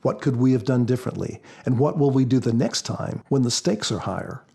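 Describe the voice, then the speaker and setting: A man speaking as a guest author in a podcast interview, explaining in a measured, prepared style. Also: mid-range voice, lively intonation, vocal fry, mellow, slightly rough.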